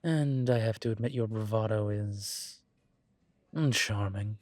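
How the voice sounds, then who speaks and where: A man playing a low-voiced, suave demon professor. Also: a little mellow, no echo, close to an everyday voice. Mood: teasing, charmed. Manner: wry, measured, teasing, formal wording. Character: suave male incubus demon professor, teasing, protective. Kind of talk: roleplay audio drama.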